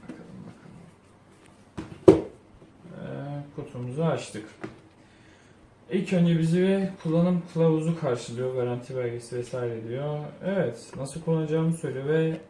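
A man with a dark, relaxed voice talks through an unboxing informally, thinking out loud as he goes. The room echoes around him, and there is a faint layer of noise. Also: fairly high pitch. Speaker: product reviewer. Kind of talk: casual unboxing review. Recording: roomy echo, faint background noise.

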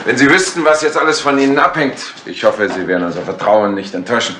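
Bright-voiced, patronising official. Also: male voice, lowish voice, fairly even intonation. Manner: patronising, brisk authority. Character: irritable, patronising official running a secret mission. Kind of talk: 1960s German radio crime drama acting. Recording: slightly noisy old radio tape.

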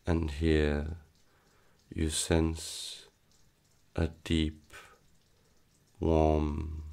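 A man leading a guided meditation in a solemn, hypnotic manner, halting between short soothing phrases. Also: a bass voice, completely flat delivery, creaky, a little mellow, clean tone.

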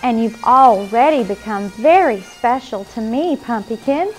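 Woman acting sweet young female clown, very high voice, slow, encouraging, children's show host. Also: animated, soft background music, rough recording. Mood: gentle, caring. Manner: gentle, encouraging.

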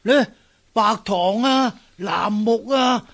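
High-voiced, coaxing trader. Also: male voice, takes it slowly, vocal fry, fairly bright tone, full character voice. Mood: coaxing, informative. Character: friendly, worldly male trader giving shrewd tips. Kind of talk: Cantonese radio drama acting.

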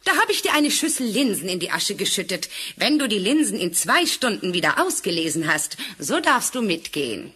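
A woman playing a stern, scornful middle-aged stepmother in a fairy-tale audio drama, with a husky edge. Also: medium pitch, sing-song, moderate pace, few pauses, sharp tone, a little hiss or hum. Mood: contemptuous.

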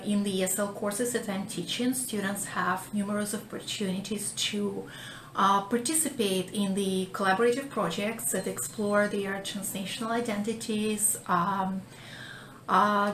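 A woman professor talks through her courses in careful, academic phrasing, pausing on fillers. Her voice sits at a middle pitch. The small office adds an echo and a faint hiss. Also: a bit bright. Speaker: ESL professor. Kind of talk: interview explanation.

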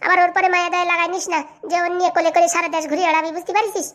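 A woman's voice: very high-pitched, flat delivery, racing, a bit bright. A woman playing a cocky, scheming young woman in a comic cartoon, brash and boastful. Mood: bossy, menacing.

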